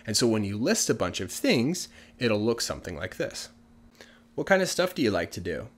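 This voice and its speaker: This is a man in his thirties, recorded at home on a studio mic in front of a sofa. He teaches in an animated way with a lowish voice and slows right down whenever he models an example phrase. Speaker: English teacher. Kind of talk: casual video lesson. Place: living room with gray sofa, indoors. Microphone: large studio mic on arm in front.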